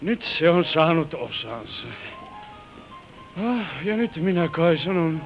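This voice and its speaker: A man's voice: a little high, very animated. An actor playing a weary, wistful old grandfather in an old Finnish radio drama. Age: older. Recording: dull vintage radio recording, music bleeding in.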